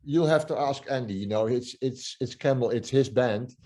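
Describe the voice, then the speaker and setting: Man, older, warm low timbre, hesitant, many fillers. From Netherlands. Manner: halting, many restarts and fillers. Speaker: musician guest. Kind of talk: casual interview talk.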